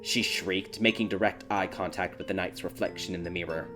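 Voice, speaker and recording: A man narrating a dark fairy tale audiobook in a dramatic, scripted storytelling style. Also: low voice, steady tone, brisk. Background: background music.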